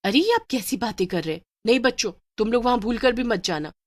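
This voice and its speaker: A protective aunt, voiced by a woman narrator in an animated ghost story. She speaks in a cautioning manner, high and lively, with a dry sound. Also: fast, dry recording. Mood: warning. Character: protective aunt warning children about ghosts. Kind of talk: animated horror story acting.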